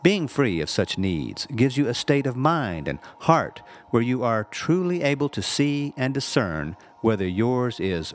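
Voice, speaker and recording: A man, a reverend, reading a spiritual essay aloud in a measured, earnest and unhurried way. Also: mid-range voice.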